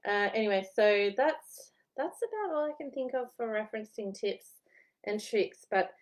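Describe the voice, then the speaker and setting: A woman's voice: on the high side, animated, normal speed. A woman in her thirties with an Australian accent and a slightly worn voice, chatting casually from home as a historian giving study tips. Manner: chatty, rambling, laughs at herself. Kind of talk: casual chatty vlog advice.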